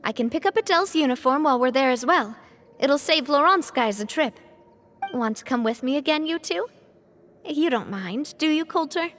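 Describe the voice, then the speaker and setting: A woman voicing a confident, caring young woman who leads a gang, in a warm, lively and decisive way. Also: high-pitched, normal speed, faint music behind. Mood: determined. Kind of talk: video game voice acting.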